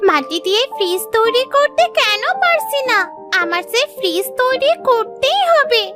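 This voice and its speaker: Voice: very high-pitched, very animated, moderate pace. A performer voicing an eager, determined little village girl in a children's cartoon, with music behind. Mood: frustrated, determined.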